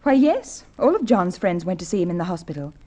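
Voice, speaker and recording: A polite, trusting newlywed from a golden-age radio mystery. Her voice is soft-edged and mellow, with an earnest delivery. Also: fairly low pitch, big pitch swings, brisk. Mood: earnest, bright. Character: trusting, polite newlywed wife, bewildered by tragedy. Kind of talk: old-time radio crime drama acting.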